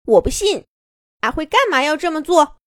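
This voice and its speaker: Woman doing loud squabbling wolves. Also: sits high, big pitch swings, normal speed, dry recording, studio quality, voice pushed up. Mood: quarrelsome. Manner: loud, raised arguing voice. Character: squabbling pack wolves, adult, quarrelsome.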